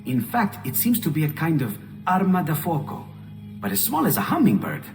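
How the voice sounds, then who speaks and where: An eager Renaissance inventor with an Italian accent, his pitch swinging freely. He is cheerful and curious, a game character heard in a long reverberant space with music behind him. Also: huge pitch swings, moderate pace. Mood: fascinated. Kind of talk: dramatic video game acting.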